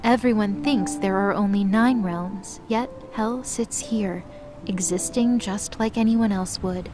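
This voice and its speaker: A woman's voice: mid-range voice, unhurried. A woman reading scripted narration for a mythological audio drama. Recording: clearly noisy background.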